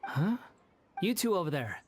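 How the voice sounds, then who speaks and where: A man's voice: high-pitched, sing-song, moderate pace, a little hiss or hum. A man voicing an earnest, polite, slightly hesitant young wanderer in a video game. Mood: guarded, polite.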